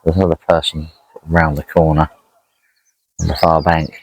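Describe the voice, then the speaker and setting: A man's voice: extremely low pitch, flat delivery, moderate pace, a little hiss or hum, poor audio. A man chatting casually and unhurriedly as he narrates an outdoor fishing vlog by a lakeside, rambling as he goes. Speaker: angler vlogging a fishing trip.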